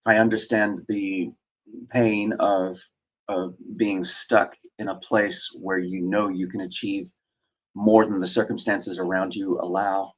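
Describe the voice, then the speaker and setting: A man's voice: low voice, low-quality recording. A middle-aged man from Australia, hosting a casual live chat and answering viewer comments with many fillers and restarts. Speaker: host.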